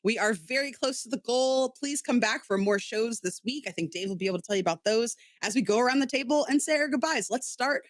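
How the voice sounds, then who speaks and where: A game master hosting a tabletop role-playing livestream, narrating casually in an informal, halting way. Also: mid-range voice, expressive, no echo.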